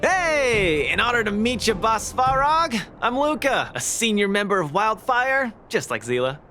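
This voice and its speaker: A man's voice: high voice, big pitch swings, measured pace, bright, forceful tone, soft background music, exaggerated voice. An actor playing a cheerful, cocky, earnest young male fighter in a video game, with an upbeat, breezy, eager manner. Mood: eager, confident.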